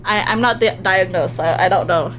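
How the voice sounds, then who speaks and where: A woman livestreaming a visual novel, reading story text aloud and commenting on it in an animated, reactive way. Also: on the low side, a little fast, a little hiss or hum, rough recording. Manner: animated, reactive, giggly, drops into hushed narration. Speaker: streamer playing a visual novel live.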